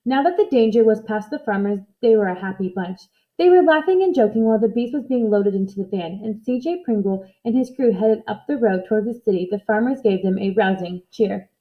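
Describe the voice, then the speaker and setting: A woman reading a children's storybook aloud in expressive narration. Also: medium pitch, brisk, few pauses, phone-quality sound. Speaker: storybook reader.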